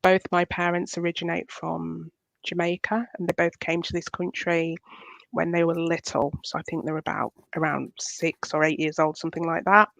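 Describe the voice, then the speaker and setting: A woman with a Yorkshire accent, chatting as a guest on a video call through a headset mic, relaxed and enthusiastic. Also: on the low side, normal speed, vocal fry, no echo. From Yorkshire, England. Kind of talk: casual video call chat. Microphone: headset microphone. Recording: dull, dry headset sound.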